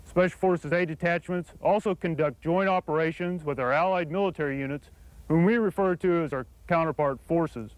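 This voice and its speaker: A man, an army captain, presenting his unit in a formal, scripted military briefing with crisp, measured delivery. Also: fairly high pitch, dry recording, rough recording.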